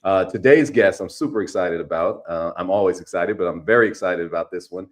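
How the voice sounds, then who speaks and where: A man from California co-hosting an online talk, enthusiastic and energetic, often repeating his words. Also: low voice, fairly quick. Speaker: co-host, interviewer. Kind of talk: live online interview.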